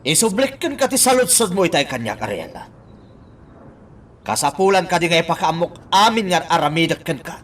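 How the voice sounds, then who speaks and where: A man's voice: on the high side, sing-song, moderate pace, fairly bright tone, distant mic, a little hiss or hum. A man playing an exasperated, reproachful partner who scolds in an Ilocano radio drama. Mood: exasperated, scolding. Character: reproachful, exasperated partner demanding a fair share.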